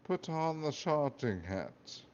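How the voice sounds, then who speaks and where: A man's voice: fairly high pitch, big pitch swings, slow pace, darkish tone, smooth, pitched above the performer's own voice. A man voicing a sleazy, lecherous old male wizard headmaster with a raised, leering voice. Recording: dull lo-fi recording.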